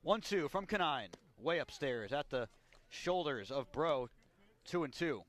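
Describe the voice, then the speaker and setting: High-voiced male baseball play-by-play announcer. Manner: measured, building to excited calls on big plays. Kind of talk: radio sports commentary. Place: outdoor ballpark broadcast.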